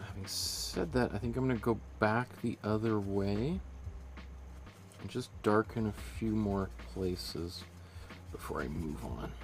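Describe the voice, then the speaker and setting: Relaxed man teaching painting live. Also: on the low side. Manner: relaxed, thinks aloud while painting, friendly to viewers. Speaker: painting instructor. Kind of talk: live-streamed painting lesson.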